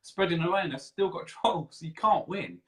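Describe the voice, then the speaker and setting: A man's voice: a little high, normal speed. A man hosting a casual interview, effusive and rambling, often repeating his phrases as he chats. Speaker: interview show host.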